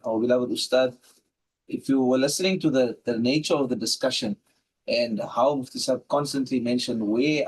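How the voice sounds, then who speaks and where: A man's voice: medium pitch, a little mellow. A man hosting a religious discussion, speaking in rhetorical, parallel phrases as he gives devotional remarks. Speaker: host. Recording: distant mic with some background noise.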